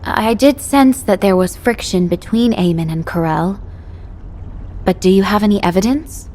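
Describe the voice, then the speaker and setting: A woman playing an earnest, principled young military aide in a video game cutscene, speaking in a crisp, articulate manner. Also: mid-range voice, moderate pace, mellow, a little hiss or hum, natural voice. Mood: surprised, probing.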